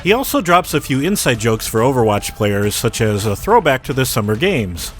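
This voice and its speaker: Animated man narrating a scripted video, music behind. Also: mid-range voice, normal speed. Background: background music.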